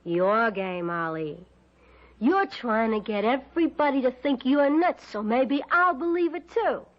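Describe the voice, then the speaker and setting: A woman playing a nagging, suspicious, sharp-tongued wife in an old-time radio drama, sarcastic and scolding. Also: on the high side, animated, a little slow. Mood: sarcastic, accusing. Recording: dull lo-fi radio recording.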